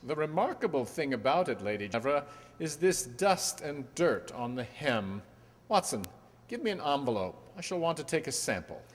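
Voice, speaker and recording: A man playing a shrewd, cool gentleman detective, precise and commanding, in old-time radio mystery style. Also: fairly high pitch, very animated, moderate pace. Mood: cool, probing. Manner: precise, commanding, dryly polite. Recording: echoey stage hall.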